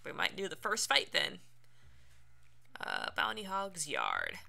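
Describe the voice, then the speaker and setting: Let's play streamer, casual, reactive, playful, sharp bright voice, wide pitch swings. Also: huge pitch swings, slow, creaky. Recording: game music behind.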